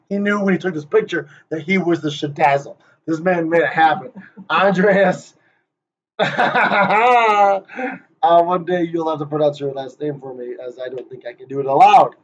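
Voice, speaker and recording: A man's voice: fairly high pitch, a little hiss or hum. A man rehearsing a playful conference presentation, joking, hyping up and teasing the people he names. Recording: dull lo-fi recording.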